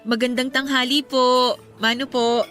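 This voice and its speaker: A woman playing a sweet, bashful young girlfriend in a radio drama, polite and expressive. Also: sits high, unhurried, soft background music. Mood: polite, amused.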